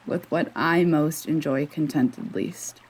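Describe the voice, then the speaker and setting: A woman's voice: low-pitched, slow, darkish tone, slightly rough, full character voice, low-quality recording. A woman performing a Shakespeare sonnet as the monologue of a lonely outcast consoled by love. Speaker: student drama performer.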